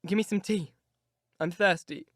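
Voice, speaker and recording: Male reader playing a petulant sickly young man; high, whining, demanding. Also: moderate pace, fairly bright tone, no room sound, clean studio sound.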